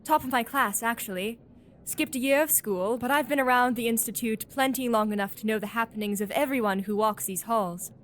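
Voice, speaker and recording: A woman acting as an eager young scientist with a fairly high voice. She is gushing, gossipy and properly spoken, in the manner of an audio drama. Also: brisk, lightly acted. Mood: proudly chatty. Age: young adult.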